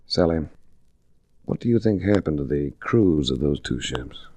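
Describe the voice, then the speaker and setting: An actor playing a cool, blunt, world-weary investigator in a 1940s radio drama, with a clipped, deadpan delivery. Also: male voice, rumbling low, measured pace, dry recording, exaggerated voice. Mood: grave. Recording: restored vintage 1940s radio recording.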